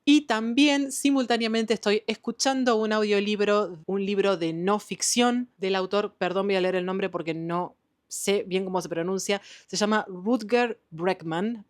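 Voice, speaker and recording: A woman's voice: medium pitch, steady tone, measured pace. A woman with an Argentine Spanish accent, chatting enthusiastically about the books she has been reading, with frequent "eh" and "em" fillers. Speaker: book vlogger. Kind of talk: casual book wrap-up chat.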